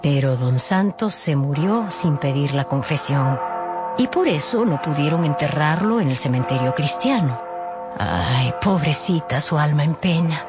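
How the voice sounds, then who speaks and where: A woman's voice: low-pitched, animated, a little slow, loud music behind the voice. A woman narrating a dramatized radio audiobook expressively, with conversational asides.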